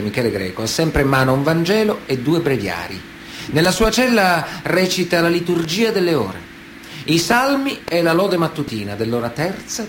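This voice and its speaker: A man's voice: mid-range voice, noisy background. A man delivering scripted dramatic narration for a radio play, as its narrator.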